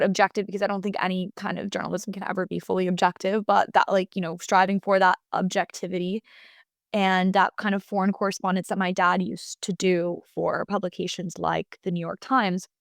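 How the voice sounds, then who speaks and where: A woman in her twenties from the United States talks through her answers as an interview guest. She is thoughtful and leans on fillers and restarts. Her voice sits at a middling pitch, and the recording is close and dry with no room sound. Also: creaky, dry recording. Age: 20s.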